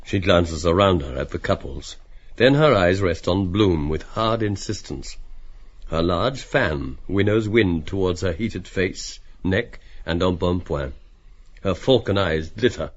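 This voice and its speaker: A man's voice: sits low, animated, a little slow, highs cut off. A man reading literary stage directions as scripted audiobook narration.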